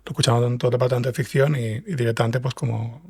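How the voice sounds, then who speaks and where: Man, mid-pitched, husky, gravelly creak, interview guest explaining. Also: level tone, very fast, darkish tone. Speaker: podcast guest, scriptwriter and fiction podcast creator.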